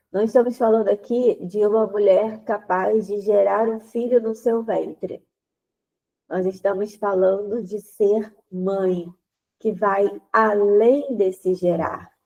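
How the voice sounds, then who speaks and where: A congested woman speaks with a stuffy, nasal voice, gravelly and dark in tone. She talks at an unhurried pace, gently and deliberately, as she leads a women's faith group. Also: mid-range voice, lo-fi sound. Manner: gentle, deliberate, repeats phrases while searching for words. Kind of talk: prayer then slow spoken teaching.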